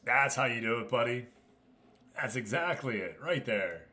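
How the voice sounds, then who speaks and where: A man's voice: medium pitch, lively intonation, moderate pace, sharp tone. A man hosting a gaming livestream, talking casually and chummily to his viewers as he comments. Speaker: livestream host.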